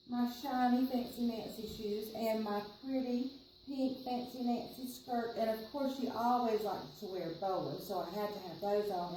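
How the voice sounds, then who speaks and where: A woman with a Southern US accent reading a picture book aloud to children, with playful, expressive, chatty asides. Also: on the high side, reverberant, a little hiss or hum, poor audio. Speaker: children's storytime reader.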